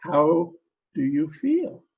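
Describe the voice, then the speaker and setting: A man playing a dim, bumbling henchman in a 1940s-style radio comedy, croaky, whiny and slangy. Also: on the high side, sing-song, noisy background, heavily acted. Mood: casual menace. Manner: slow-witted, whiny, slangy, ungrammatical. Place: remote video-call performance.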